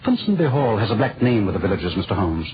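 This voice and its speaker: An actor playing an earnest, agitated British lord with a formal manner, in 1940s radio style. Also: male voice, lowish voice, very animated, fast. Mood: suspicious. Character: earnest, agitated young English lord seeking justice. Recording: muffled, hissy old radio recording.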